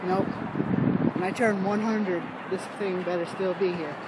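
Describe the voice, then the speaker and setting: A woman from Boston chatting excitedly and emotionally while touring an outdoor stadium, recorded on a handheld camera. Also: on the low side, measured pace. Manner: effusive, emotional. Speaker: vlog co-host and tourist. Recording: loud outdoor city noise.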